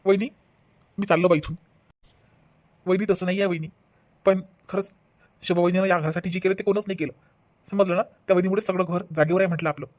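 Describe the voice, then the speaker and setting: A woman's voice: on the low side, fairly even intonation, quick pace, pauses often, darkish tone, close to an everyday voice. A woman voicing a hot-tempered, accusing husband in a family comedy cartoon, repetitive and melodramatic, with a Vidarbha Marathi accent. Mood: defensive. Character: hot-tempered husband devoted to his sister-in-law. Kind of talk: animated family comedy acting.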